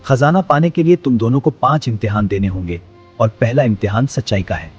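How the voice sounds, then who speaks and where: Give the palace's owl guardian , acted by a man, a solemn, instructive delivery in a mid-pitched voice. He is a wise cartoon creature who teaches children morals. The audio is a little dull, with music underneath. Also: breathy edge, lightly acted. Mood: solemn, welcoming. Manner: measured, instructive.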